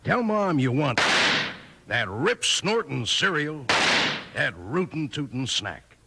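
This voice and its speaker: A man's voice: a little high, big pitch swings, slow, very noisy. A man with an American accent giving a folksy, emphatic 1950s radio commercial. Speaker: commercial announcer. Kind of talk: 1950s radio ad pitch with cowboy slang.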